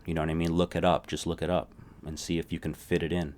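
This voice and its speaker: A man teaching a recorded language lesson. His voice sits very low, and he walks through each point patiently, often checking in with "right?" as he goes. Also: flat delivery, fast, a little mellow, slight background noise.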